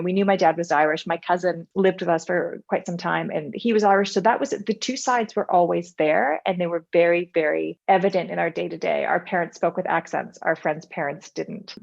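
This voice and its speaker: Middle-aged Canadian woman, Canadian accent, low gravelly voice, muffled lo-fi sound; reflective guest. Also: darkish tone. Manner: reflective, storytelling with frequent "you know". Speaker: podcast guest. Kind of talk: casual conversational interview. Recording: muffled lo-fi audio.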